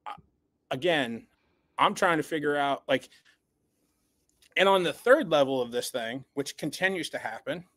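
A man's voice: on the high side, lively intonation, moderate pace, long pauses, fairly bright tone, dry recording, clean studio sound. A man co-hosting a sports podcast, giving post-game analysis in an emphatic, blunt way and stumbling over repeated words as he builds up steam. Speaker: podcast co-host.

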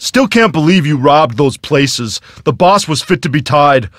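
A man's voice: fairly high pitch, lively intonation, a little slow, no room sound, clean studio sound. A man voicing a disapproving henchman in a video game, incredulous and slightly scolding.

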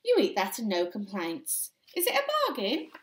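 A woman's voice: medium pitch, wildly swooping pitch, moderate pace, slight background noise. A woman voicing a proud, kindly old grandfather who gardens, in an emphatic, teasing storybook delivery. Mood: proud, bargaining.

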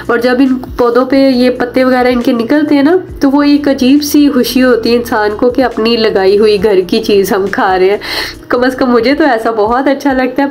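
A woman's voice: fairly high pitch, a little mellow. A woman with a Pakistani accent, a mom vlogger narrating in a chatty, explanatory way and speaking directly to viewers. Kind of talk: casual homemaking vlog narration.

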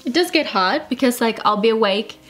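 A woman's voice: a little high, animated, fairly bright tone, faint music behind. A woman in her twenties with an Australian accent, chatting frankly and laughing while answering viewer questions beside her partner. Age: 20s. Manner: frank, laughing, quick and joking. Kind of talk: casual chat. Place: small indoor room in front of a plain wall.

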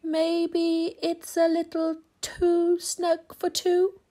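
A woman voicing a gentle, hesitant tortoise with drawn-out words, in a children's storybook. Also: very high voice, monotone, pitched above the performer's own voice, heavily acted. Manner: slow, drawn-out.

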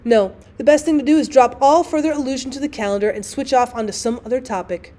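A weary, tart wife from a classic storybook, read by a woman. Her fairly high voice carries wry, formal phrasing and practical impatience. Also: fast talker, warm tone, natural voice. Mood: exasperated.

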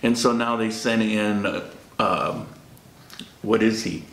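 A man's voice: fairly low pitch, fairly even intonation, slow pace, a bit bright. A middle-aged man hosting a casual question-and-answer vlog, joking and laughing often. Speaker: vlog host. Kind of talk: casual Q&A chat. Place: small warm-lit room indoors. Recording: roomy echo.

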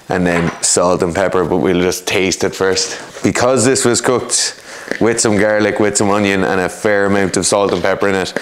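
Irish man, 30s, low voice, relaxed cooking-demo explainer, home kitchen, camera a few feet off. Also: a little hiss or hum. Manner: relaxed, explanatory, chatty asides. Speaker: cook demonstrating a recipe. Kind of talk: casual cooking demo chat.

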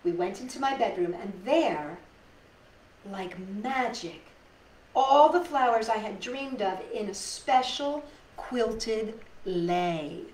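A middle-aged woman reads a children's story aloud with a lively, swooping delivery. She pauses for effect and slips in chatty asides. The sound is roomy and a little distant, with some noise behind. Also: medium pitch. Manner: animated, dramatic pauses, addresses young listeners. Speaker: storytime host reading picture books to children. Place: indoors in a living room.

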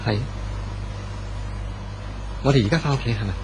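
Man playing steadfast tender suitor, Hong Kong Cantonese accent, middle pitch, reassuring, 1970s radio drama, slight noise. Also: moderate pace, close to an everyday voice. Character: gentle, steadfast, protective romantic leading man.

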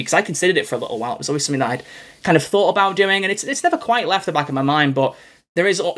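A man's voice: fairly high pitch, fairly bright tone. A young man hosting a podcast interview from home, chatty, effusive and rambling as he talks to a guest. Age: young adult. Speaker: podcast host. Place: small home room, video call.

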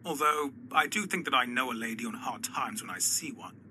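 Man as awkward gentleman suitor, sharp bright voice. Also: mid-range voice, brisk. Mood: bitter, resigned. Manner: hesitant, formal. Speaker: actor in an audio drama. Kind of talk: radio play acting. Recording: loud background noise.

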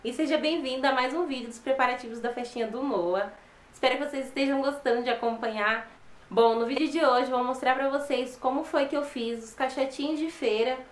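Brazilian woman in her twenties hosting a craft channel, chatty and friendly, slightly high voice, echoey small room. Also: a little fast. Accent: Brazilian Portuguese. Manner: chatty, friendly, often ends with "tá?". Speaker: DIY channel host. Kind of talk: upbeat on-camera intro and outro. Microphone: fixed camera at close range.

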